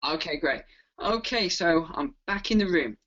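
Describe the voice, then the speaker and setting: A man's voice: a little high, expressive, moderate pace, sharp tone. A man joining an online webinar as a guest speaker over a remote call, upbeat as he starts talking. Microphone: remote call audio. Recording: dull lo-fi remote call from far off.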